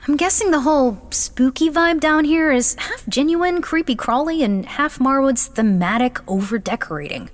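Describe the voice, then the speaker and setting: An actress playing an anxious, sardonic young aspiring beastmaster in an audio drama, halting and nervous. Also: female voice, sits high, lively intonation, big-room sound. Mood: wry. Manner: nervous, halting, bossy with sarcasm. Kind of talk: comedic audio drama acting.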